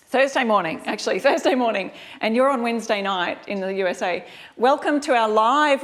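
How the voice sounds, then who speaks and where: A woman with an Australian accent giving a casual live craft tutorial, chatting informally and directly to her viewers. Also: on the high side. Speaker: host of a live craft demonstration. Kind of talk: casual livestream tutorial chat.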